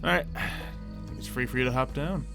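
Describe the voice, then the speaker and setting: Casual male gamer over loud game music. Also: mid-range voice, fast. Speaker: gamer playing a Minecraft roleplay series. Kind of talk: casual gameplay commentary.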